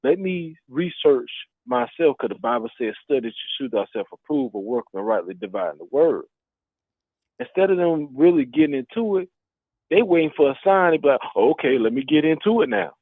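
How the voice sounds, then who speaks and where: A man's voice: on the high side, big pitch swings, fairly quick, slightly rough, dry recording. A man in his thirties speaking earnestly in a casual group discussion, quoting scripture. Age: 30s. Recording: tinny phone line.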